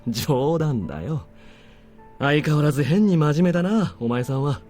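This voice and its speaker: An actor voicing an easygoing military superior in a visual novel, casual and teasing in manner. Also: male voice, fairly high pitch, lively intonation, quick pace, a little mellow, close to an everyday voice. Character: easygoing, joking male military superior officer. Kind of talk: Japanese visual novel voice acting. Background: game music.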